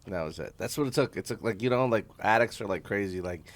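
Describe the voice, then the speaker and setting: A man in his thirties tells stories with a wry, candid edge and curses freely. His voice runs a little low and relaxed. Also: fast talker, dry recording. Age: 30s. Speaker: stand-up comedian guest. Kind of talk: casual chat, storytelling. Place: hotel conference room.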